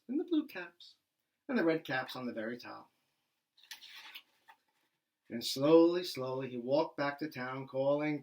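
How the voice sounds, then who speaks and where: A man reading a children's storybook aloud like a primary school teacher, animated and with dramatic emphasis. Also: mid-range voice.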